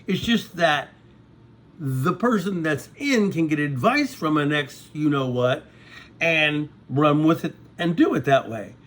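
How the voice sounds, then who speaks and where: A man's voice: on the high side, animated, a little slow, fairly bright tone. A man in his sixties talking to his viewers in a rambling casual monologue, often stopping to ask "okay?". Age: 60s. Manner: conversational, repeats "okay?" to check in with viewers. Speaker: vlogger.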